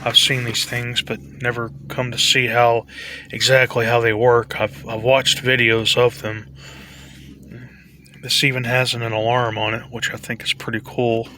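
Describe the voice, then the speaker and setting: A man talks through a casual show-and-tell in a tentative, halting manner. His voice is bright and quite monotone, with little rise or fall. Faint noise sits behind him, as in a homemade vlog. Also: mid-range voice. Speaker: hobbyist vlogger.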